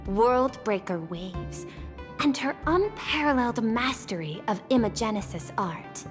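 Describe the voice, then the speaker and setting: A woman voicing a composed, courteous, calculating corporate envoy in a game, with formal, measured, polite delivery. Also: fairly high pitch, lively intonation. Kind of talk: scripted game voice acting. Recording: long reverb, music behind.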